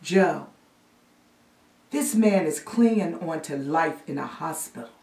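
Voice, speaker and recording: A woman's voice: sits low, animated, slow pace, creaky, darkish tone, exaggerated voice. A woman playing an indignant newspaper reporter pleading emphatically with an editor in a theatrical monologue. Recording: roomy echo, distant microphone.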